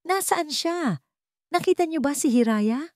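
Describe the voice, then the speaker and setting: Babaeng gumaganap bilang balisang mayamang ama na mapag-utos. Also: female voice, high voice, animated, a little slow, dry recording, clean studio sound, voice pushed up. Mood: panicked. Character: rich, powerful father, anguished and commanding Filipino businessman.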